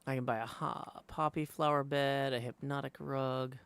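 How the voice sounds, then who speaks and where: A man's voice: medium pitch, steady tone, moderate pace, gravelly, a bit bright, clear, resonant tone. A man streaming a video game, chatting casually and thinking aloud as he reads text off the screen. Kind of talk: casual game livestream commentary.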